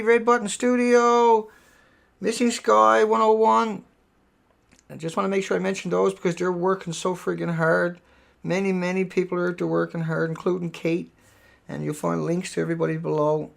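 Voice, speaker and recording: Gravelly man rambling on a live stream. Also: high voice, flat delivery, moderate pace. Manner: rambling, emphatic, repeats words. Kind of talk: casual live stream monologue.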